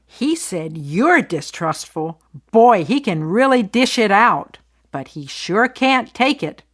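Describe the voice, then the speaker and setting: A supportive but blunt best friend in a learner soap opera, really slow and clear, medium pitch. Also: female voice, big pitch swings. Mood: indignant.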